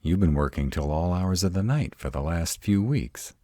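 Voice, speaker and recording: A man playing a grumpy, protective male were-dragon who talks in a gruff, sarcastic drawl in a roleplay audio drama. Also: sits low, lively intonation, normal speed, warm tone, no room sound. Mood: suspicious, teasing.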